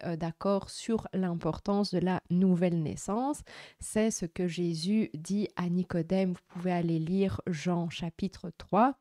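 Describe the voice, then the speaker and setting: A woman hosting an explanatory religious vlog, speaking in a measured, didactic way. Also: on the low side, warm tone, dry recording, clean studio sound. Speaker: host answering viewer comments.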